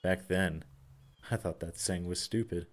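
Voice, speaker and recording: A man's voice: low voice, a little slow. An actor playing a reflective, reticent man, hesitant and pensive, in an audio theater dialogue.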